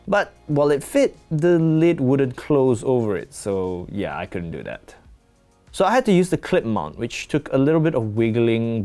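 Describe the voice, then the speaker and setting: A man's voice: mid-range voice, darkish tone. A man narrating a scripted product review as the host, in a conversational, lightly wry manner.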